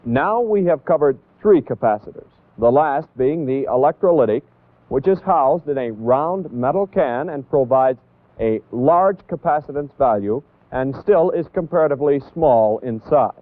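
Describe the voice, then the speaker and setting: An American man narrating a 1960s instructional training film as an instructor, in a deliberate, didactic manner that stresses key points. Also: mid-range voice, lively intonation, no room sound. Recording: tinny, band-limited 1960s training film audio.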